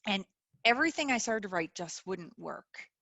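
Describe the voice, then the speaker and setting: A middle-aged woman hosting a webinar over a video call, talking earnestly with many pauses and fillers. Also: medium pitch, lively intonation, sharp tone, no room sound. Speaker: webinar host. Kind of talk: casual chat.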